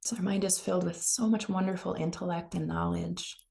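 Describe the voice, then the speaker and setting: A woman leading a guided meditation in a gentle, unhurried, soothing manner. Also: fairly low pitch, fairly even intonation, creaky. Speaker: meditation teacher.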